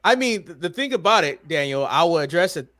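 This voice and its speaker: A man's voice: a little high, very animated, a little fast, a bit bright. A man hosting a wrestling podcast, giving opinionated recaps in a blunt, emphatic and sometimes profane way. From United States.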